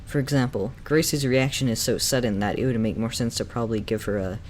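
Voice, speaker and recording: A man's voice: medium pitch, fairly even intonation, fast talker. Opinionated male reviewer over background noise. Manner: opinionated, enthusiastic fan talk. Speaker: reviewer and narrator of a video essay. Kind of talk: semi-scripted review commentary. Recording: clearly noisy background.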